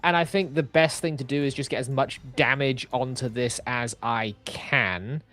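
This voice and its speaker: A man's voice: medium pitch, normal speed, pushed and bright, soft background music. A man talking casually and thoughtfully as a player in a tabletop roleplaying game.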